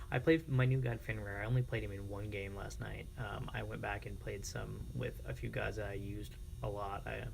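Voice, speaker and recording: An American man streaming casually, chatting informally and reacting to viewers in a creaky, gravelly voice. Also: on the low side, flat delivery, moderate pace, few pauses, a little mellow, a little hiss or hum. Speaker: live streamer. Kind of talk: casual livestream chat with viewers.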